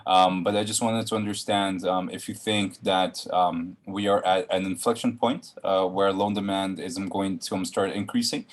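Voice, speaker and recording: A man asking questions as an analyst, hesitant and often pausing mid-phrase. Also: low voice, flat delivery.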